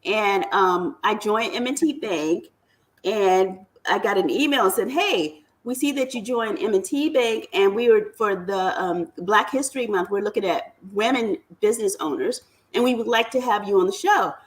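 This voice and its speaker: A woman's voice: medium pitch, normal speed. A woman guest on a casual talk show, chatting in a self-deprecating storytelling way. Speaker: guest business owner. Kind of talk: casual chat.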